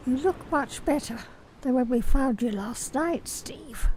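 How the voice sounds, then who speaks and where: Woman voicing seductive woman, very slow, mellow, faint background noise. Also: sits high, expressive, poor audio. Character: seductive woman, alluring and soft. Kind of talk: amateur radio drama.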